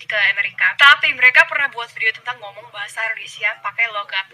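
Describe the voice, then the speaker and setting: A woman from Canada talking casually to her vlog audience in a lively, friendly way, laughing easily. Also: a little high, fast talker. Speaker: vlogger.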